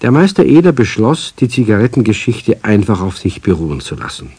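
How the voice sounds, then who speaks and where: A man narrating a children's radio play as a calm, measured storyteller. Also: on the low side, husky, dry recording, heavily acted.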